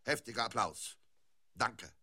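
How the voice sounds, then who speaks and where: A man's voice: mid-range voice, animated, measured pace, pushed and bright, breathy edge, heavily acted. A man playing a bombastic stage player who declaims in a melodramatic, theatrical way for a radio comedy. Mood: formal, announcing. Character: bombastic male player declaiming verse and announcing.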